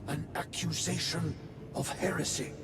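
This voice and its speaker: A severe warrior chaplain, breathy and hushed, a little high in pitch. He speaks slowly chosen, formal words with cold suspicion and menace, as in a cinematic game, with music underneath. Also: steady tone. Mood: accusing, cold. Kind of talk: cinematic video game acting.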